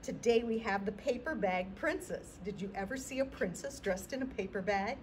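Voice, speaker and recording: A middle-aged woman hosting a library storytime, reading a picture book aloud to children in an expressive, deliberate way. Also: mid-range voice, a little hiss or hum. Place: indoor library room.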